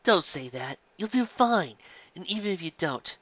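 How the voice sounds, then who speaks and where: A reader voicing a loyal, gentle mare who speaks softly and quietly, in a dull lo-fi recording. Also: mid-range voice, big pitch swings, moderate pace, no room sound, pitched above the performer's own voice. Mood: reassuring. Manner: soft and quiet.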